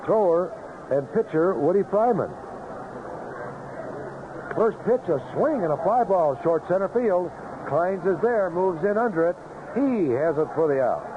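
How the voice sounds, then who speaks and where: A man's voice: high voice, phone-quality sound, noisy background. A man calling baseball play-by-play live on a vintage radio broadcast. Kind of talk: live radio sports commentary. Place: outdoor ballpark broadcast booth.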